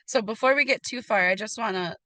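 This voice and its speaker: A woman's voice: a little high, a little fast, pushed and bright. A woman with an American accent, speaking conversationally as the host of a podcast.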